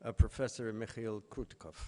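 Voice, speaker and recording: Echoey hall, background noise, male event host, formal introduction, medium pitch. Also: brisk, warm tone. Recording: echoey hall, noisy, with clicks.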